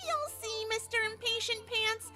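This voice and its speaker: A woman's voice: very high-pitched, slow, music in the background. A woman voicing a bubbly, childish young female cartoon pony with a playfully sinister streak. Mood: giddy, scheming. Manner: childish, sing-song, playfully menacing. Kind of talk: animated fan fiction audio drama.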